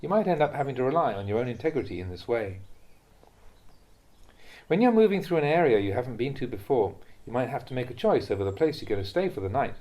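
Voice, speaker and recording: A man reading a translated Buddhist talk aloud as a monk would teach, in a measured, instructive manner. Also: mid-range voice, darkish tone.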